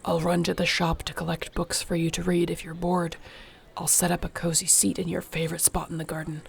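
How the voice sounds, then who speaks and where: A woman playing a protective, devoted orc warrior girlfriend in an intimate audio roleplay, speaking softly, breathily and earnestly. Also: lowish voice, monotone, a little fast, creaky, husky, exaggerated voice. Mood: caring, firm.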